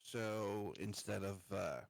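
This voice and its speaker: A man playing a tabletop game, talking casually and haltingly as he searches for his words. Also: fairly low pitch, gravelly, dry recording.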